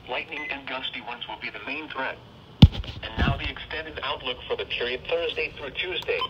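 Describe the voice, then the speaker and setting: A man's voice: mid-range voice, moderate pace, loud music behind the voice. A man reading a scripted weather forecast in formal, clipped phrases over a radio. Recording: muffled weather radio, loud room noise.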